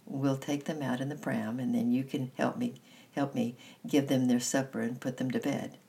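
A woman's voice: rumbling low, fairly even intonation, moderate pace, creaky, warm tone. A woman reader voicing a kindly young mother, a vicar's wife in a storybook, in a friendly, encouraging manner.

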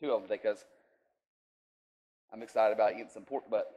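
A man's voice: fairly low pitch, steady tone, brisk, mellow. A man preaching an informal sermon in a folksy, conversational way, checking in with his listeners often. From rural US.

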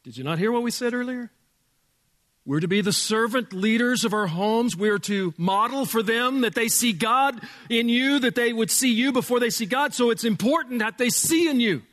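A male pastor with a loud voice preaching a sermon in a church, emphatic, dropping from booming to hushed. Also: moderate pace, long reverb tail. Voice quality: loud speaking voice. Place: church sanctuary with sound board.

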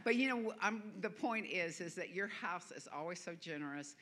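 A woman's voice: fairly low pitch, expressive, moderate pace, fairly bright tone. A woman from the American South with a Southern accent, preaching as a guest minister in a church, chatty and self-deprecating with frequent laughter. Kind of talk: sermon. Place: church sanctuary.

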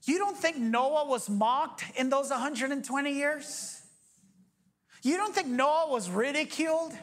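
A man in his forties preaching a sermon as pastor, energetic, joking and firing off rhetorical questions. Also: very high-pitched, measured pace. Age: 40s.

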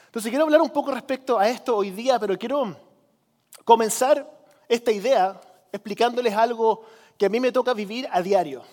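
A man from Chile with a Chilean accent, giving a sermon as a preacher in a conversational manner, repeating phrases for emphasis. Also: very high-pitched. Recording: long hall reverb.

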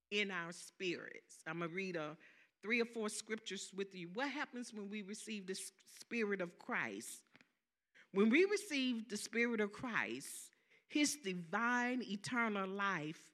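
A woman with a Southern accent delivers a sermon at an unhurried pace, leaning hard on repeated phrases and checking in with her listeners for an amen. Her voice is bright and forceful, and her pitch sits in a middle range for a woman. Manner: emphatic preaching, repeats phrases, asks the congregation for amens. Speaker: preacher.

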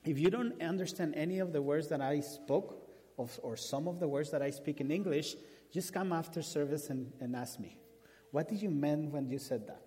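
Man, Spanish accent, native Spanish speaker, preacher, animated sermon storytelling, lots of restarts. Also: a little high, normal speed. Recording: long hall reverb.

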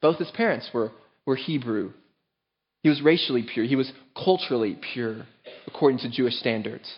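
An earnest male pastor preaching in a church, driving his points home with firm emphasis. His voice sits at a middle pitch for a man. The recording sounds a little dull and lo-fi. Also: moderate pace. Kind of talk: sermon.

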